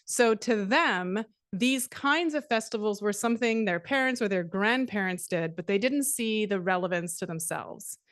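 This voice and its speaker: A middle-aged woman from Canada giving a conference talk, measured and steady, with frequent fillers. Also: fairly high pitch, dry recording, clean studio sound. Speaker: conference speaker. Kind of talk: academic presentation.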